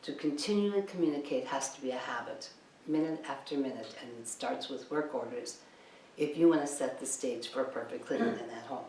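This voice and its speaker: A woman with a deep voice delivers a training lecture. She is emphatic and insistent, leaning on rhetorical questions. The sound is roomy and distant, with faint noise behind her. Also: a little fast, darkish tone. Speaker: cleaning business trainer. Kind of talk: instructional talk, training lecture. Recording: echoey room, distant mic, background noise.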